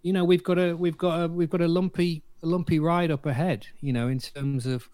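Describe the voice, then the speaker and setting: A man speaking as a podcast panellist in casual sports discussion, explaining his points in a measured way. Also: fairly high pitch, steady tone, darkish tone, no room sound.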